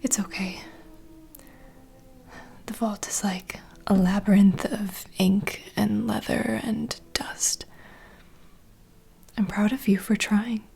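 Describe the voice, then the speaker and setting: A woman playing a nurturing, gossipy vampire bartender in an ASMR fantasy roleplay, speaking in a soft, breathy, confiding whisper. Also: mid-range voice, little pitch movement, takes it slowly, long pauses, heavily acted. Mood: confiding, protective. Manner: intimate, confiding, gentle.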